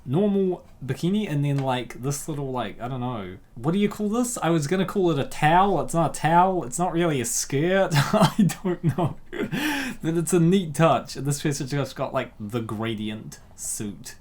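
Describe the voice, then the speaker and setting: A man's voice: on the high side, lively intonation, moderate pace, hardly any pauses, darkish tone. A man narrating a comic dub and breaking into slangy, jokey casual commentary. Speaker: host and narrator.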